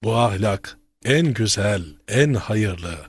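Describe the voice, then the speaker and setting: A man voicing a kindly, pious old grandfather in a children's cartoon, teaching in a gentle, measured, didactic way with a husky, aged voice. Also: lowish voice, expressive, heavily acted. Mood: earnest, instructive.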